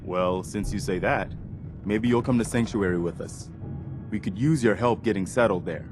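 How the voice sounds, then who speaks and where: An actor playing an earnest militia leader in a video game, sincere and plain-spoken. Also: male voice, on the low side, moderate pace, over music, close to an everyday voice. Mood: hopeful. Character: earnest, grateful militia leader, adult man.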